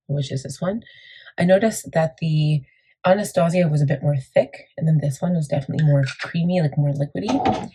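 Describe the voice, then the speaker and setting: A woman with a gravelly morning voice, chatting casually through a makeup tutorial with lots of fillers. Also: mellow, a little hiss or hum, low-quality recording. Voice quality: gravelly, deep. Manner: chatty, rambling, many fillers. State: morning voice, a bit crackly. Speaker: beauty vlogger.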